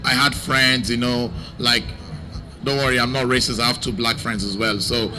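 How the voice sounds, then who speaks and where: A man's voice: mid-range voice, normal speed. Joking young man from Nigeria. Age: young adult. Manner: joking, animated storytelling. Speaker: youth speaker. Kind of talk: comic personal talk. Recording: background noise.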